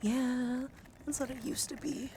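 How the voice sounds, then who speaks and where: Hushed, creaky-voiced woman doing soft ASMR. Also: high-pitched, fairly even intonation, a little slow, a little hiss or hum. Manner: hushed, often dropping into whispers; gentle, soothing, affectionate. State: voice slightly strained from just waking up. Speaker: ASMR livestream host. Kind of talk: soft ASMR, chatting to live viewers.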